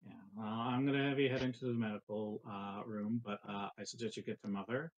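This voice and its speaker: A man's voice: on the low side, fairly even intonation, fairly quick, dull audio. A man talking casually as a player in a tabletop roleplaying game.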